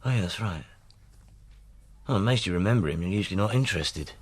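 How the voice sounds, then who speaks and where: Radio drama: exasperated British male detective, husky, quick and animated, defensive at home. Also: low voice. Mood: frustrated. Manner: exasperated, earnest. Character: weary, defensive police detective and strained husband. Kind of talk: naturalistic radio drama acting.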